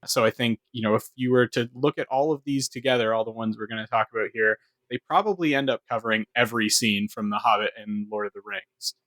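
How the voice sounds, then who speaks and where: A man's voice: lowish voice, fast, few pauses, a bit bright, no echo, low-quality recording. Man casually explaining on a dry-sounding podcast. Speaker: podcast co-host and producer.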